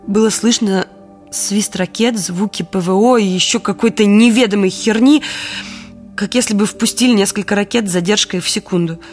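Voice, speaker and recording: A weary, wry woman confides her wartime diary in an audio drama, performed by an actress. Her voice sits at a middle pitch and tells it intimately in the first person, with music underneath. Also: lightly acted. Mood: tense, weary.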